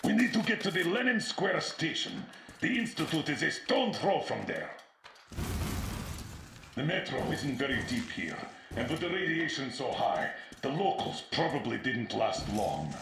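An actor playing a gruff, terse older military commander in a video game, giving urgent orders. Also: male voice, fairly high pitch, very animated, measured pace. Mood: grim, wary. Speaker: squad commander. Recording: distant, echoey, loud noise behind.

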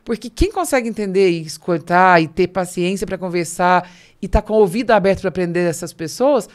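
A woman's voice: lowish voice, expressive, fairly quick. Brazilian woman, emphatic podcast host. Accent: Brazilian Portuguese. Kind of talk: conversational business podcast interview. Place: small podcast studio with curtains, indoors. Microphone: close studio mic on boom arm.

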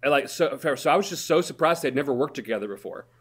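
Podcast host, mid pitch, supportive, lots of "mm-hmm". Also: expressive, fast, slightly rough. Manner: encouraging, frequent affirmations. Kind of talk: casual podcast interview.

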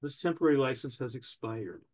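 A man in his sixties playing a pedantic, obstructive older DMV clerk in a staged comedy reading, officious, deadpan and stalling. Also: medium pitch, a little fast, natural voice. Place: indoors, on a video call.

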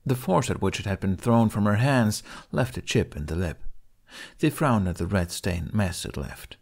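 A man's voice: lowish voice, animated, moderate pace, gravelly, darkish tone. A man reading a story aloud as an audiobook narrator in a scripted storytelling style.

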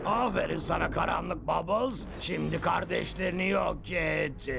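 A man voicing a scheming, boastful, bossy monkey villain in a Turkish cartoon dub, gravelly and grandiose. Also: on the high side, measured pace, heavy background noise. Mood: urging. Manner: grandiose, emphatic, repeats himself.

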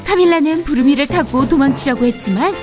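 A woman narrating a scripted children's fairy tale in an expressive storybook manner. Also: high voice, a little fast, over music.

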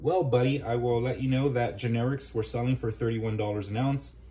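Male vlogger, lower-pitched everyday conversational delivery with a soft, mellow tone, chatty recap for viewers. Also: fairly even intonation, fairly quick, a little hiss or hum. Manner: informal, conversational, addressing viewers as guys and buddy. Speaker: video host, coin collector. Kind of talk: casual vlog recap to viewers.

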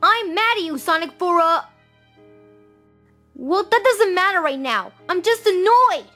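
A high, boyish voice for an earnest teenage fox in a cartoon audio drama, performed by a woman. The delivery is emotional and touchy, with music underneath. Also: animated, a little slow, bright, forceful tone, full character voice. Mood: angry. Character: fifteen-year-old boy cartoon fox, earnest and touchy. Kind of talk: fan audio drama acting.

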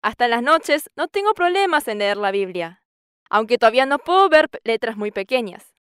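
High-pitched woman giving church testimony. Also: big pitch swings, normal speed, a bit bright, clean tone, dry recording, studio quality. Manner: earnest, quotes scripture at length and acts out dialogue with a raised voice. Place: TV studio stage. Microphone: lapel mic.

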